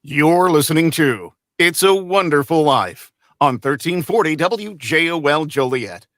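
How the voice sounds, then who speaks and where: A man's voice: a little high, animated, unhurried, a bit bright, no room sound, studio quality. A man announcing a radio show in scripted continuity lines.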